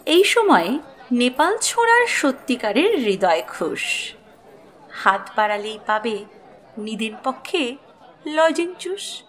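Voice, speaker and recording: A woman narrating a scripted story as its host, in an expressive storytelling style with music underneath. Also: high voice, slow, pauses often, some chatter behind, poor audio.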